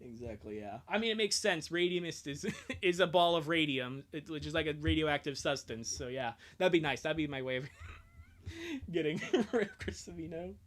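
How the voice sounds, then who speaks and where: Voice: medium pitch, very animated, moderate pace, fairly bright tone. A streamer chatting casually during a live reading, joking and often breaking off mid-thought. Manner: joking, self-interrupting, giggly. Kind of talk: casual livestream chat.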